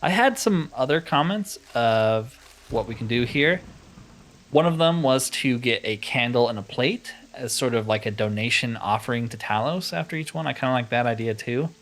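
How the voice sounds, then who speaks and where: A man's voice: mid-range voice, animated, moderate pace, a bit bright. A man giving casual let's-play commentary, musing aloud with wry asides as he plays. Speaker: gamer narrating his playthrough.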